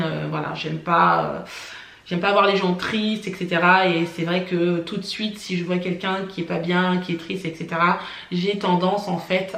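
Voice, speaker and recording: A woman born in France, telling personal stories to camera for her family vlog in a chatty way, often pausing on "euh" and "voilà". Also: on the low side, a bit bright, echoey room. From France (born in Paris). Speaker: family vlogger. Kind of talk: casual personal storytelling to camera.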